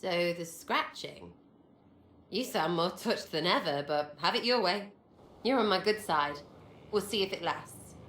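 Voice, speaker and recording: An actress voicing a brash, irreverent young elven rogue in a video game, cheeky and blunt, with choppy slangy phrasing. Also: female voice, on the low side, expressive, unhurried, fairly bright tone, echoey room, slight background noise. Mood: wary, teasing.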